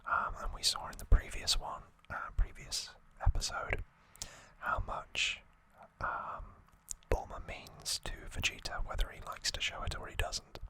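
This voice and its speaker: Casting: ASMR host, breathy whisper throughout, crisp bright hiss, gentle informal storytelling. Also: low-quality recording. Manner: gentle, unhurried, informal recapping.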